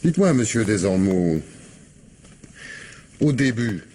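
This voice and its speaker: Deep-voiced, sceptical police commissioner. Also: male voice, big pitch swings, dark tone, a little hiss or hum. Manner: questioning, dry, measured. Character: probing, sceptical, unhurried male police commissioner. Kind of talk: radio mystery drama acting.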